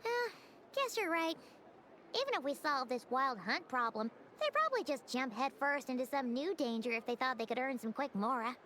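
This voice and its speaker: A woman's voice: high voice, lively intonation, moderate pace, a bit bright, slight background noise. A woman voicing a small, childlike, cheeky fairy companion in a video game. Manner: speaks of self in third person.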